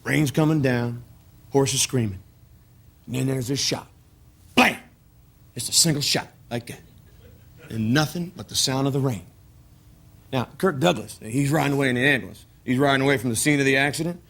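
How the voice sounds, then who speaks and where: An actor playing a rough, volatile brother in a stage drama, telling a story with folksy, emphatic repetition. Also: male voice, mid-range voice, moderate pace, long pauses, fairly bright tone. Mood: intense storytelling. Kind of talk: naturalistic filmed stage-play acting.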